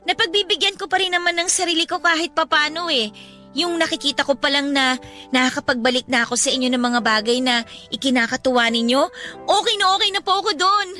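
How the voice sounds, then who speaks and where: A woman's voice: high voice, fast talker, pushed and bright. A young woman playing a devoted, determined grown daughter in a radio drama, earnest and sentimental, with a Filipino accent. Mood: determined, affectionate. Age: young adult. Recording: music bed behind the voice.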